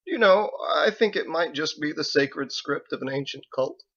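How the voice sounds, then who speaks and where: A man's voice: fairly high pitch, lively intonation, normal speed, a bit bright, highs cut off, pitched above the performer's own voice. A man voicing a secretive older husband in an audiobook, outwardly fond, teasing and coaxing. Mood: breezy, evasive. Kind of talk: audiobook character voice.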